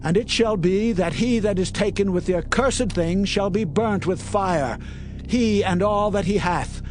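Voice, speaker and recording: Casting: grave, earnest biblical leader, high voice, solemn declamation, music behind. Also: male voice, measured pace. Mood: stern proclamation. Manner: declamatory, solemn archaic speech. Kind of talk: dramatized audio Bible acting. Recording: background music under the voice.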